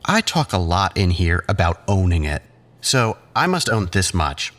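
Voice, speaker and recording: A male actor playing a condescending, controlling psychiatrist who talks in patronizing, measured therapy-speak in an audio drama. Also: deep voice, big pitch swings, a bit bright, full character voice. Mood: clinical, controlling.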